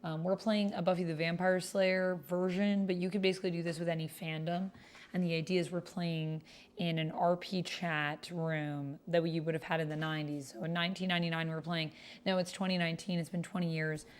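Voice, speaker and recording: A woman's voice: on the low side, level tone, a little fast. A woman hosting a roleplay livestream, talking informally to her viewers and reading chat. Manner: informal, chatty, addresses viewers. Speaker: streamer hosting a tabletop roleplay. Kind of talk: casual livestream chat, reading chat and story text aloud.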